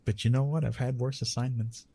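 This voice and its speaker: A man playing a retired immortal Greek god of love in an intimate ASMR roleplay, speaking to the listener in a soothing, gently teasing, conversational way. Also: medium pitch, fairly even intonation, brisk, breathy edge. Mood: amused, wry.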